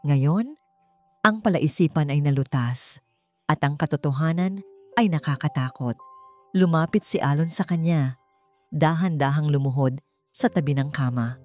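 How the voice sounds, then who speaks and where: Low-voiced woman narrating drama. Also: a little slow, pauses often, darkish tone, faint music behind. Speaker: storyteller.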